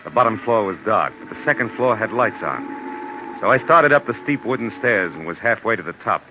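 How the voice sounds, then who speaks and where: A man's voice: fairly low pitch, lively intonation, a little fast, natural voice, low-quality recording. An actor playing a tough, wry private detective who narrates his case tersely in 1940s radio drama style. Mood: grim, brooding. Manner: terse, hard-boiled, sardonic. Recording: 1940s radio recording with music.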